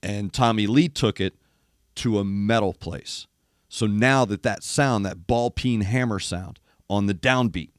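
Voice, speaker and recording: An older man, a former rock radio DJ, hosts a music reaction show. He is losing his voice and sounds gravelly, speaks emphatically, and mimics drum hits while talking. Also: mid-range voice, dry recording, studio quality. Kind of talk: casual music commentary. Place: studio in east Nashville.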